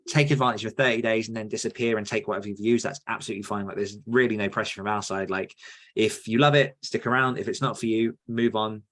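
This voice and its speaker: A man's voice: fairly low pitch, steady tone, fast talker, a bit bright. A man hosting a podcast in a casual, rambling and enthusiastic chatty style. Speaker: podcast host.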